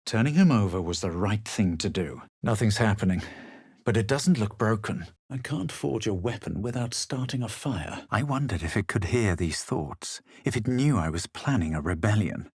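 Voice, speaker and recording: Video game hero, a self-assured, superior adventurer with a lowish voice, recorded dry and close. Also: male voice, lively intonation, normal speed, darkish tone, slightly rough, no room sound. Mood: measured, superior. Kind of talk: video game character voice lines.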